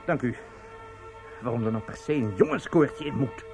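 A man's voice: medium pitch, animated, normal speed, vocal fry, music in the background. An actor playing a bustling, officious theatre man in a radio play, polite, fussy and hesitant, often repeating himself.

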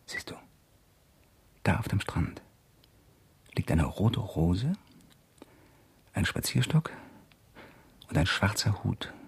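An actor playing a brooding, reclusive writer with a husky voice in a German radio crime drama. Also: male voice, sits low, lively intonation, takes it slowly, long pauses, whispered, full character voice. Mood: intimate, pensive. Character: brooding male writer, reclusive, edgy and evasive.

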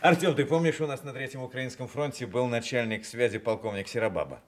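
An actor playing an older, ailing war veteran officer, hearty, unhurried and plain-spoken, in a Soviet radio drama. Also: male voice, mid-range voice, lightly acted. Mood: hearty, joking.

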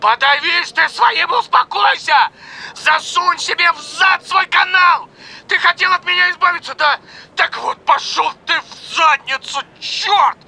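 A man's voice: animated, normal speed, gravelly, sharp tone, full character voice, low-quality recording. A man voicing a hysterical, bitter, foul-mouthed man at breaking point in a dramatized audiobook. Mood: hysterical rage. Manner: ranting, high-strung, swearing.

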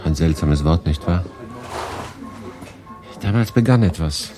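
A guarded refugee man telling his story in a radio play. The voice is low yet lively in melody, with measured, wry phrasing. Music plays clearly behind him. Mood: reflective. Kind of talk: radio drama narration and dialogue.

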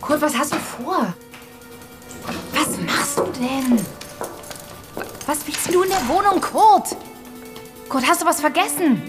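A woman's voice: sits high, lively intonation, slow pace, long pauses. An actress playing an earnest, concerned female police detective in a radio drama, persistently questioning. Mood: puzzled, urgent. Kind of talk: German radio drama acting, naturalistic dialogue. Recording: music behind the dialogue.

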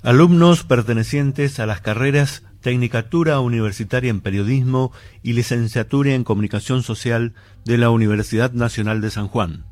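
A man's voice: medium pitch, normal speed, darkish tone. A man radio presenter reading a scripted introduction.

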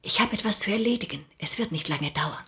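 An actress playing a composed, caring older woman in a radio drama, with a husky voice. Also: medium pitch, lively intonation, a little fast, sharp tone, full character voice. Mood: gentle, secretive.

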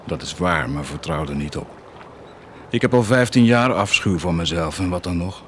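A very low-voiced, cynical warlord in a radio play. He declaims with proud wickedness, swinging widely between muted menace and defiant outbursts. Faint noise sits behind the voice. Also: expressive, moderate pace, a bit bright. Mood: brooding, scornful. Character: cynical, blasphemous bastard army commander, proud of his wickedness. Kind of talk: theatrical radio drama acting.

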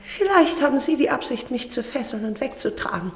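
A woman's voice: sits high, very animated, a little fast. A woman in a radio play acting a stubborn, haughty lady captive with a dramatic, imperious manner. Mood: haughty defiance. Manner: dramatic, imperious, sharp-tongued. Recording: echoey, reverberant cellar-like sound.

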